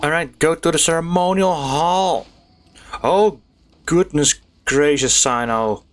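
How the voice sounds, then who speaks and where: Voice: mid-range voice, slow pace. A game streamer giving casual, playful and slangy commentary while playing. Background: game music.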